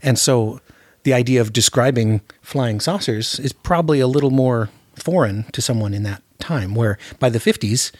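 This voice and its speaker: A man's voice: medium pitch, moderate pace, few pauses, a little mellow, dry recording, clean studio sound. A man hosting a casual film discussion, often repeating words as he works out his thoughts. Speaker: podcast host.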